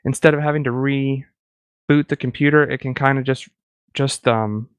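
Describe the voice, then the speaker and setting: A man's voice: mid-range voice, fairly even intonation, moderate pace, smooth, no echo. A man co-hosting a podcast in casual tech chat, talking in a chatty, self-deprecating way. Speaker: podcast co-host.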